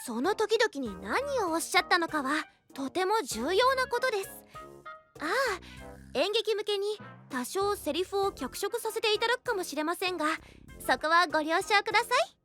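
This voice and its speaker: Very high-voiced, courtly anime princess. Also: female voice, lively intonation, fairly bright tone. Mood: polite, eager. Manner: very formal, courtly, playfully brisk. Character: polite, scheming young princess writing a play. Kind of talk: Japanese anime audio drama acting. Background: faint background music.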